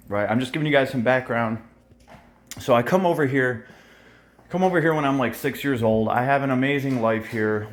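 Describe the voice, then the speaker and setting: Russian-born guy in his 30s ranting on his channel, blunt, swears a lot, lots of ums, regular mid voice. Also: mid-range voice. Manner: blunt, heated and profane, with many fillers. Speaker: YouTuber. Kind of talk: casual opinion vlog.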